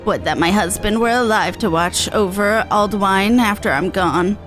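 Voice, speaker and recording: A woman's voice: medium pitch, moderate pace, fairly bright tone, faint music behind, natural voice. A woman voicing a fretful, genteel elderly widow from a game, reading the dialogue with prim, old-fashioned phrasing. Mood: wistful, worried.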